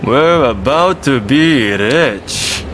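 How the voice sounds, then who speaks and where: A man's voice: medium pitch, expressive, extremely slow, background noise. An actor playing a greedy, gloating bandit henchman in a samurai game, with music behind him. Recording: music over the voice.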